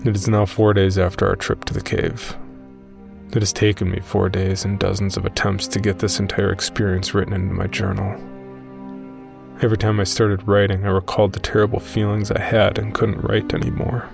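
A man playing a shaken, weary caver who tells his horror story from a journal in a measured, solemn way. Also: fairly low pitch, little pitch movement, creaky, music in the background. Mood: drained, uneasy. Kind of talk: first-person horror narration.

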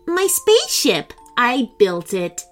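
A woman's voice: high-pitched, very animated, a little slow, pushed and bright, faint music behind, voice pushed up, full character voice. A woman voicing a bold, eager female cat inventor in a children's story, confident in manner.

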